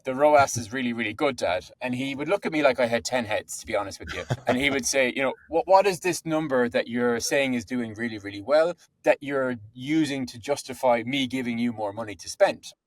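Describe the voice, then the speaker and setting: A man's voice: medium pitch, fairly quick, few pauses, no room sound, poor audio. A man in his thirties with an Irish accent, a podcast guest talking conversationally, often repeating words and adding asides. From Ireland. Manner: chatty, repeats words, says "to be honest". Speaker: podcast guest, software co-founder. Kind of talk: conversational interview.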